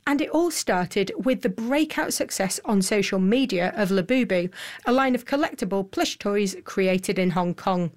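A woman's voice: mid-range voice, lively intonation, moderate pace, a little mellow. Woman presenting radio business news. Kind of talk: broadcast news presenting and interviewing.

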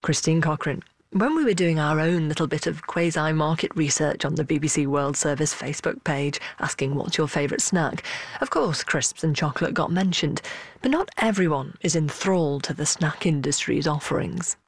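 A woman presenting a radio programme, narrating and interviewing in a crisp, playful way. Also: sits low, normal speed, no echo, clean studio sound.

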